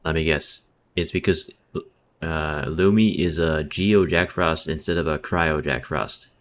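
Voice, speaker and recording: A man's voice: extremely low pitch, steady tone, a little slow, a bit bright. A man giving slangy, mildly sarcastic live reactions as he streams a game.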